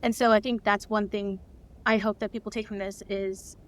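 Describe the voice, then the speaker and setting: A woman speaking as an interview guest, chatting casually and earnestly, often halting to search for words. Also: mid-range voice, level tone. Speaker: interview guest, audio drama co-creator.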